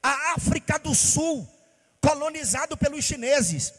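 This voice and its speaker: High-pitched preacher from Bahia, echoing hall. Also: male voice, lively intonation, a little slow, fairly bright tone. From Bahia, Brazil. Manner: impassioned preaching, sing-song cadence, rhetorical questions. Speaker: pastor. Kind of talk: sermon.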